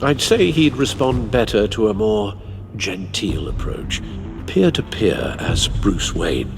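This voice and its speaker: An actor playing a loyal, dutiful elderly butler in a video game, with a formal, genteel manner. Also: male voice, lowish voice, sing-song, slow pace, vocal fry, over music, exaggerated voice. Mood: measured, advising.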